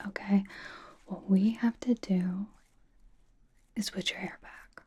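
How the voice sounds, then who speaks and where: A woman voicing a blunt but cozy young female pop star in a breathy, casual, halting ASMR whisper, doting on the listener. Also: medium pitch, mellow. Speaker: ASMR roleplay performer. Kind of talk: whispered ASMR personal-attention roleplay.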